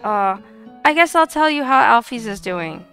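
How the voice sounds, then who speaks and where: A woman voicing a brash, warm-hearted female warrior fish creature in a blunt, informal way for a video game. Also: fairly high pitch, animated, normal speed, soft background music. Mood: heartfelt. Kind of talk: video game dialogue reading.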